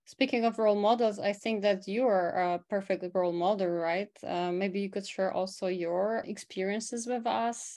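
Flat-toned woman host asking a question. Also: mid-range voice. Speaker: podcast host. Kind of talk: interview question.

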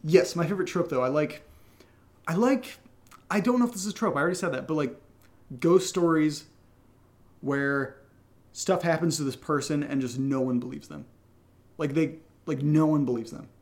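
A man with a somewhat high-pitched voice chats as a podcast co-host. He is informal and unscripted. His delivery is wry, teasing and self-mocking. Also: moderate pace, long pauses. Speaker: co-host of an audio drama podcast reading listener questions. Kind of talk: casual unscripted Q&A chat.

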